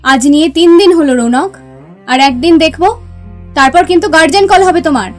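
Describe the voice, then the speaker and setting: A woman's voice: high voice, brisk, soft background music, lightly acted. A woman acting a strict, scolding primary school teacher in an audio story. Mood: stern, annoyed. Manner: stern.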